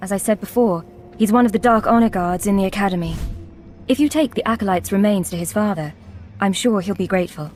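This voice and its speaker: A timid young woman, played by an actress, speaks in an earnest, hesitant way and breaks off mid-phrase. Her voice sits in a middle pitch, and faint noise hangs behind it in this game scene. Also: darkish tone, close to an everyday voice. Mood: sad. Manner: hesitant, pleading, with halting restarts. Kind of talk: video game voice acting.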